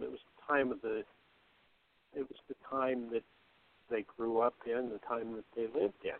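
A man's voice: mid-range voice, creaky. Man on a thin, phone-like line. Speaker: radio play cast member. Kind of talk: casual group discussion. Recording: thin phone-like call audio.

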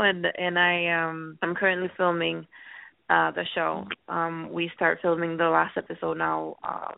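A woman in her twenties from Finland, a guest entrepreneur, answering with many pauses and restarts. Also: fairly low pitch, creaky, no room sound. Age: 20s. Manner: hesitant, many ums and restarts. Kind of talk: casual interview answers. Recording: tinny phone line.

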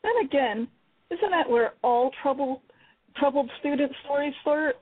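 A woman's voice: high-pitched, measured pace. A woman voicing a young female patient confiding in a friend about her struggles. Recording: tinny phone-line radio audio.

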